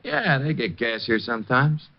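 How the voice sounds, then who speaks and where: An actor playing a blunt gas station attendant and a flustered doctor in a 1950s radio drama. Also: male voice, mid-range voice, sing-song, normal speed. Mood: gruff. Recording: muffled old radio broadcast.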